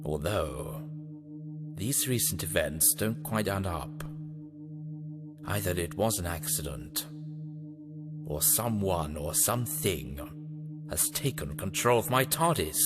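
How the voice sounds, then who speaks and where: A man's voice: low voice, expressive, slow, pauses often. A man playing an eccentric, fretful time traveller who mutters to himself hesitantly and exclaims in an audio drama. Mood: wistful, pondering. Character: eccentric, fretful male time-travelling scientist, talking to his machine. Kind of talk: fan audio drama acting. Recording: music under the voice.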